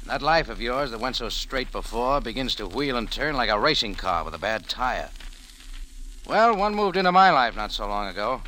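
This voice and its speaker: An American actor playing a tough, world-weary cafe owner in 1940s radio style, with clipped, slangy wisecracks and guarded narration. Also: male voice, mid-range voice, expressive, normal speed, bright, forceful tone, slight background noise, poor audio. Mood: wry, brooding. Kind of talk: 1940s radio acting with first-person narration. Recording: old 1940s radio recording.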